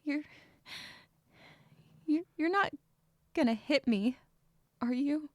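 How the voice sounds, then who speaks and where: A woman's voice: high-pitched, takes it slowly, no room sound. A woman playing an anxious, withdrawn young woman who narrates her own story in a dramatic, introspective and sometimes hesitant way. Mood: frightened. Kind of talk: first-person dramatic narration with dialogue.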